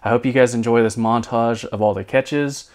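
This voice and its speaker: A man's voice: medium pitch, fairly even intonation, normal speed, a little mellow, dry recording, studio quality. A man, chatting casually as a fishing vlogger. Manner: easygoing, chatty, folksy. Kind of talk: casual chat to camera.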